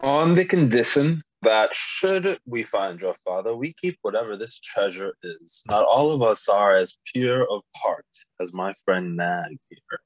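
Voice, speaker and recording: A man playing a wary, calculating wizard with stern, formal phrasing in an animated fantasy table read. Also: lowish voice, measured pace, few pauses, no echo, poor audio. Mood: guarded.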